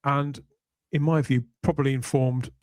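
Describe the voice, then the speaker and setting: A man hosting a podcast panel discussion, talking in a measured, discursive way with deliberate pauses. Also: medium pitch, fairly even intonation, darkish tone, dry recording. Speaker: podcast host.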